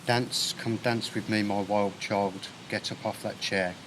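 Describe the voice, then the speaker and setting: A man reading poetry aloud in measured, separated phrases. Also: lowish voice, fairly even intonation, noisy background. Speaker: poet.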